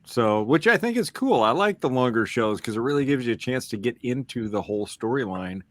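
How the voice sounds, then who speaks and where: A man's voice: mid-range voice, expressive, fairly quick. A man hosting a casual podcast chat in a rambling, hesitant way. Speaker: podcast host.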